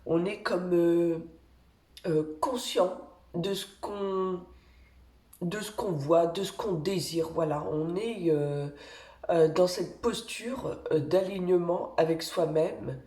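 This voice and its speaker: A woman with a cold giving weekly oracle-card guidance as an energy healer, speaking gently and hesitantly with many pauses. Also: on the low side, reverberant. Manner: gentle, reassuring, pauses often while drawing cards. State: has a cold. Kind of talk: slow, hesitant spoken guidance with many fillers.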